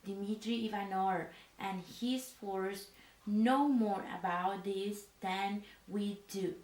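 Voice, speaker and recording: A woman voicing a female resistance leader in pajamas, speaking softly and haltingly, with many hesitant fillers, in an ASMR roleplay. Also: mid-range voice, fairly even intonation, reverberant, recorded from a distance, lightly acted, rough recording. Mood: earnest. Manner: halting, slow, with hesitant fillers and a foreign-sounding phrasing. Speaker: leader of a sleeper resistance unit. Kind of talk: soft-spoken ASMR roleplay.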